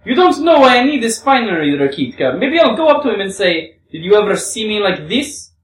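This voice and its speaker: A woman's voice: mid-range voice, big pitch swings, brisk, slight background noise, voice pushed up, lightly acted. A woman playing a volatile, wounded young Russian woman in a storybook reading, with theatrical exclamations. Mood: taunting, defiant. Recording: roomy, distant microphone.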